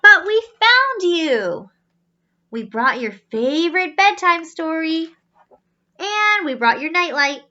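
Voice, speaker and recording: Old rusty robot parents from a children's storybook as a woman reader acts them out, doting and emphatic. The voice is high and swoops widely, with a slightly dull sound. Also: big pitch swings, takes it slowly, pushed and bright, heavily acted. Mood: relieved, doting. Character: worried, loving old rusty robot family. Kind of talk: children's storybook read-aloud.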